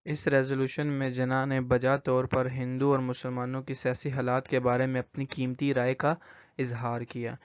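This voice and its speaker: A young Pakistani man, a university student, giving a semi-scripted presentation in a halting way, often stumbling and repeating words. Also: medium pitch, completely flat delivery, clean tone, no room sound, dull audio. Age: young adult.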